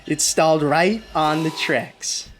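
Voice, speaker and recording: A man's voice: fairly high pitch, slow, a bit bright. An actor playing a haunted, desperate young male driver in a radio drama, with an intense delivery that stammers under agitation. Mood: rising dread. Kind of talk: radio drama acting with first-person narration. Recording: clearly noisy radio play recording.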